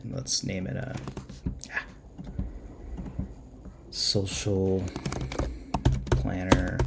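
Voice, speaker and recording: A man giving a casual, unscripted software walkthrough, informal and halting as he thinks aloud at his computer. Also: low-pitched, vocal fry, very noisy. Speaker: software tutorial presenter. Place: indoors at a computer.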